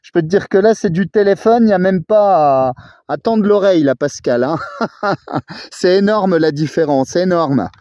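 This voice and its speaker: A man's voice: sits high, normal speed, warm tone, no echo. A man talking casually as an amateur radio operator during a contact. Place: outdoors at a mountain spot. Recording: dull narrow amateur radio audio.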